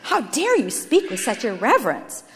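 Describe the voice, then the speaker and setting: A woman's voice: on the high side, huge pitch swings, moderate pace, long reverb tail, a little hiss or hum. A woman voicing a guilt-ridden young man who is desperate and stubborn, speaking in an earnest, insistent, dramatic way. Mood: indignant, distraught.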